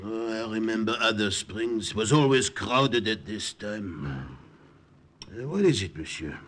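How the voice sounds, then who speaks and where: An actor playing a chatty, solicitous Parisian café owner with a French accent in a 1940s radio drama. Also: male voice, on the low side, animated, unhurried, fairly bright tone. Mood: friendly, solicitous. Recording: noisy 1940s radio recording.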